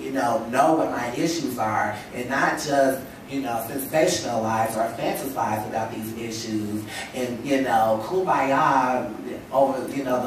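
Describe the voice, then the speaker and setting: A woman's voice: rumbling low, noisy background. Brief: young woman, stuffy head cold, impassioned panel speaker, heard distantly in a very echoey hall. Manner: emphatic, rhetorical, lots of "you know". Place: lecture hall stage at a table. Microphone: table stand mic. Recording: echoey hall, mic from far off.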